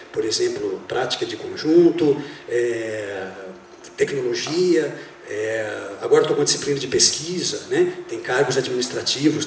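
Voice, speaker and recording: A man in his fifties, a university professor and drummer, telling his story in a relaxed way on stage, with many fillers. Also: on the high side, a little hiss or hum. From Brazil. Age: 50s. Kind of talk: talk on stage. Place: theater hall. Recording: echoey theater, speaker far from mic.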